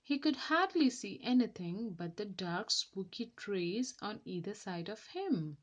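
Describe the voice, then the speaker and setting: A woman reading a children's story aloud as an expressive narrator. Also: mid-range voice, a little slow, highs cut off.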